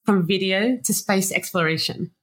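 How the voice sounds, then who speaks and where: A woman's voice: medium pitch, normal speed, fairly bright tone. A woman hosting a podcast who reads a scripted intro and then interviews her guest in a friendly, upbeat way. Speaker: podcast host.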